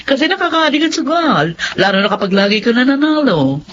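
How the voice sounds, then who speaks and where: Casting: breezy gambling friend, high lively voice, coaxing, Filipino radio drama. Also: female voice, fairly quick. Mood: breezy, advising. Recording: muffled, noisy radio drama recording.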